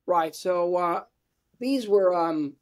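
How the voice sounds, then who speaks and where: Higher-pitched Italian-accented man, interview guest. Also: slow. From Italy. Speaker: interview guest, university lecturer. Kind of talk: conversational interview answers. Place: indoor room with bookshelves, video call.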